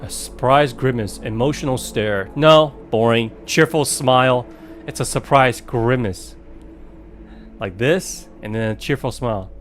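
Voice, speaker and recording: A man's voice: medium pitch, measured pace. A man doing casual let's play commentary, jokingly talking back to the game. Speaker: game streamer. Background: game music.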